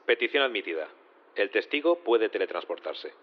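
A man's voice: lowish voice, big pitch swings, normal speed, muffled recording. A man playing a formal, procedural judge presiding over a trial in an audio drama.

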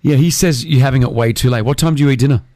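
A man's voice: medium pitch, rapid-fire, mellow, no echo. A man hosting a radio show, chatting casually with a co-host and taking listener calls, laughing often.

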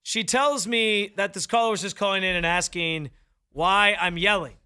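A man hosting sports radio, his high, bright voice pushed hard and projected into a close studio mic. He talks with loud, fired-up opinions. The sound is full and forceful. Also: moderate pace, smooth. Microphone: studio microphone, close.

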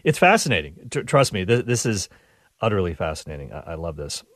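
Upbeat low-voiced radio host. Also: male voice, big pitch swings, brisk, fairly bright tone, no room sound, clean studio sound. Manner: enthusiastic, chatty, frequent restarts. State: stuffed up nose. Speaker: radio talk show host. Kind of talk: upbeat radio show monologue.

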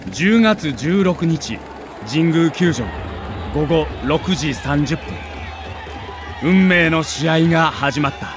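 High-voiced man in dramatic narration, noisy backdrop. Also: lively intonation, slow, a crowd in the background. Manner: theatrical, emotive, emphatic delivery. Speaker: narrator of a baseball audio documentary.

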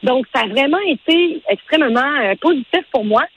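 A woman in her forties with a Quebec French accent, talking as a radio interview guest in a halting, conversational way. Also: sits high, lively intonation, dull audio. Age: 40s. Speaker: interview guest, event organizer and matchmaker.

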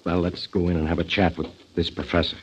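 An actor playing a curt, sardonic, commanding police inspector in a 1940s radio drama. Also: male voice, sits low, flat delivery, fast, close to an everyday voice. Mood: wary, low. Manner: curt, tough-talking, commanding. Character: gruff, sardonic, bossy male police inspector. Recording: dull, noisy 1940s radio recording.

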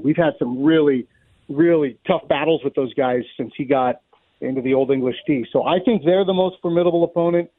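A middle-aged man giving conversational, wryly humorous sports analysis as a play-by-play announcer guesting on a radio show. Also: mid-range voice. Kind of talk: unscripted sports analysis in a live radio interview. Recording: roomy, thin narrow-band phone line.

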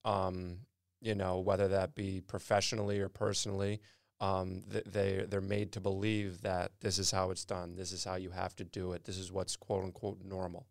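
A man's voice: low voice, monotone, moderate pace, vocal fry, dry recording. A man hosting a podcast interview, friendly and rambling, repeating words while he thinks. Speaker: podcast host. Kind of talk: casual interview conversation.

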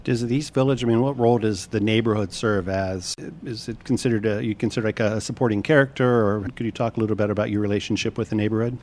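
A man interviewing two guests, asking quick, stumbling questions full of restarts. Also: lowish voice, fairly even intonation, a little mellow.

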